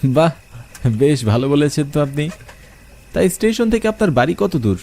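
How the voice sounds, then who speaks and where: Young guy narrating a ghost story, warm dark voice, very animated delivery. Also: fairly high pitch, moderate pace. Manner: expressive storytelling, rising in questions. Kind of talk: first-person horror story narration.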